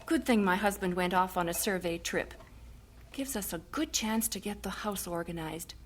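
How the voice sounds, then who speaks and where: A woman's voice: medium pitch, moderate pace, slight background noise, natural voice. A woman playing a patient missionary wife and mother in an audio drama, instructive and homely. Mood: homely, appreciative.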